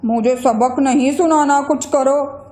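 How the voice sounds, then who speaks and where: A sly young boy acted by a woman from a children's tale, envious and always scheming. The voice is high, with a defensive edge, recorded with audible echo. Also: slow, warm tone. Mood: urgent. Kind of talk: children's moral story character voice. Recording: roomy echo.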